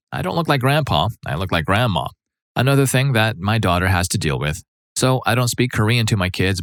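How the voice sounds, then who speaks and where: Guy with a low voice reading reddit-style stories, steady, super dry mic. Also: no echo. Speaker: narrator reading forum stories. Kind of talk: scripted narration.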